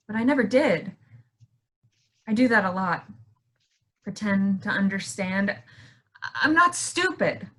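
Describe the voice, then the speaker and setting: An actress in a staged play monologue, playing a puzzled, self-doubting woman who confides in halting, plain words. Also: a little high, a bit bright, slightly rough, full character voice. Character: puzzled, self-doubting pregnant woman musing, named Lynn.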